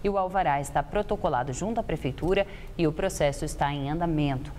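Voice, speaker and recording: A woman anchoring the news in a studio, reading a script in a crisp, formal way. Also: sits low, quick pace, darkish tone, long reverb tail, slight background noise.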